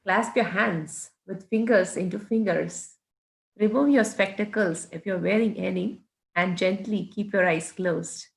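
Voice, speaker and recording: A woman with an Indian accent giving guided meditation instructions in a measured, orderly way. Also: medium pitch, vocal fry, low-quality recording.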